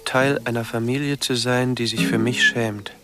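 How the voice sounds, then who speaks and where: An actor playing a withdrawn young male recluse, narrating introspectively in short, halting phrases in a radio drama. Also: mid-range voice, steady tone, very noisy, natural voice. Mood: reflective. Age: young adult. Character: withdrawn young male recluse confessing his hidden life. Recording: music behind the voice.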